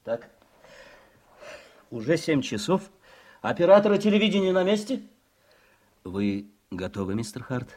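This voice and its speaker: An actor playing a brisk man running a television broadcast in a radio play. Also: fairly high pitch, very animated, a little mellow. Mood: businesslike. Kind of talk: Soviet radio play acting.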